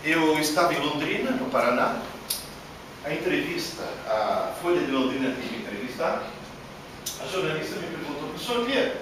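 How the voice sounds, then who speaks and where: Guy giving a lively talk, kinda high voice, noisy roomy recording. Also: moderate pace. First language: not Portuguese. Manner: animated, emphatic, rhetorical questions. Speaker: lecturer. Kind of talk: workshop lecture. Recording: echoey hall from far off, noisy.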